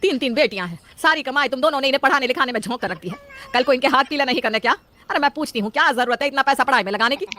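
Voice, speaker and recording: Woman voicing a nagging, high-pitched old mother-in-law. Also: expressive, racing, sharp tone, a little hiss or hum. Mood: scolding, insistent. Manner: scolding, insistent, rhetorical questions. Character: nagging, stubborn elderly mother-in-law craving a grandson.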